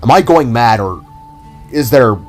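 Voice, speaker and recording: A man voicing a frantic, excitable young male police officer who stammers when flustered. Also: medium pitch, unhurried, soft background music.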